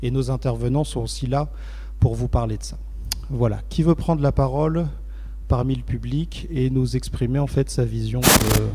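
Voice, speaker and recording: A man with a mellow voice moderates a public debate and opens the floor to the audience. He speaks hesitantly, with fillers. A long hall reverb hangs on his words. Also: mid-range voice, normal speed, slightly rough.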